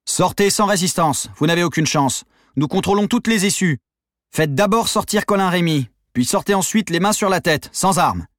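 A man voicing an authoritative police officer calling out loud, urgent orders in an audiobook thriller. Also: sits high, animated, moderate pace, dry recording, studio quality, pitched above the performer's own voice. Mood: stern, commanding. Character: commanding male police officer calling through megaphone.

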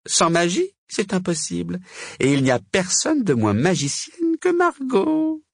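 A man's voice: high voice, wildly swooping pitch, measured pace, creaky, dry recording, clean studio sound, voice pushed up, exaggerated voice. A man voicing a vain, scheming witch in a storybook, melodramatic and easily indignant. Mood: smug scheming. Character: vain, shrieking witch with a huge pointed chin.

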